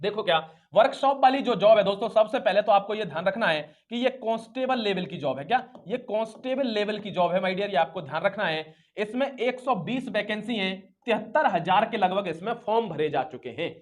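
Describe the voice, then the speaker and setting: A man's voice: high voice, expressive, brisk. A man with a North Indian accent lecturing students informally as an exam coach, emphatically repeating points as rhetorical questions and mixing in English words.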